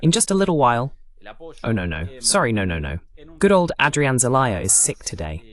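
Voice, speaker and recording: A man's voice: medium pitch, very animated, fairly quick. Male political host, very varied intonation, argumentative and emphatic in live commentary. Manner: argumentative, rhetorical questions, emphatic repetition.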